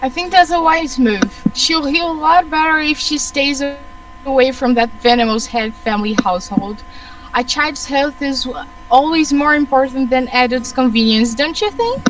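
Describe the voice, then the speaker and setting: An assertive, argumentative woman from a rich family, acted by a woman reader. The voice is high and somewhat halting, a little far from the mic with soft music behind it. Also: close to an everyday voice. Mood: caring, firm. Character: assertive, bickering adult woman of a wealthy family.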